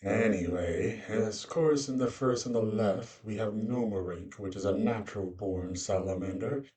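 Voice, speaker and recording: A man's voice: on the low side, unhurried, mellow, recorded from a distance, lightly acted. A man voicing a cosmic monster beast who hosts a casual, rambling narrated guide to fantasy creatures, with offhand asides. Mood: explanatory. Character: cosmic monster beast presenting its world's species, conversational. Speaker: host introducing fantasy species.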